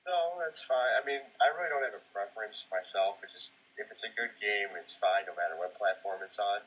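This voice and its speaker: The recording is dull and lo-fi. A man with a lowish, rough, husky voice talks as a podcast co-host. His delivery is casual and conversational. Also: brisk. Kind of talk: casual chat about video games. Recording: muffled, lo-fi voice recording.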